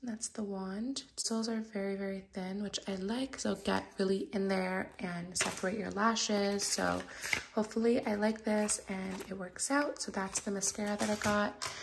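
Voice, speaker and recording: Raspy woman, soft unboxing talk. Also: medium pitch, fairly even intonation, moderate pace, a little hiss or hum. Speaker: vlogger. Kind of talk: casual vlog chat. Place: home.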